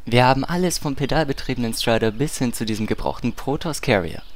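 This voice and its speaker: Man as a deadpan salesman, noisy ambience. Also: mid-range voice, quick pace, natural voice. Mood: sales patter. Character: blunt, unimpressed game-world mount salesman. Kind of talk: comic audio play acting.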